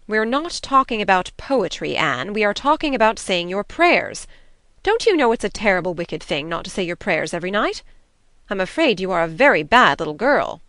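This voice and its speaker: A woman's voice: mid-range voice, sing-song, normal speed, a bit bright, no echo. A woman reading an audiobook, voicing a strict, prim older woman who scolds stiffly. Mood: stern.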